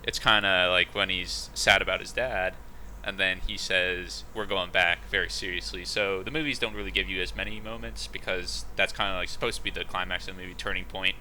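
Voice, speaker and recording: A man with a deep, very bright and forceful voice talks on a fan podcast. He sounds eager and keen, his points are strung together with ums and you-knows, and a faint hiss sits under him. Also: steady tone, fairly quick, few pauses, low-quality recording. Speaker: podcast co-host. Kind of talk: casual fan podcast chat.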